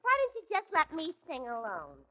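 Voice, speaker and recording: A woman's voice: sits high, wildly swooping pitch, moderate pace, muffled recording. An actress playing a brash, ditzy, nasal New woman in a 1940s radio comedy. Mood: self-assured. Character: brash, ditzy woman proud of her dreadful singing. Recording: old 1940s radio broadcast.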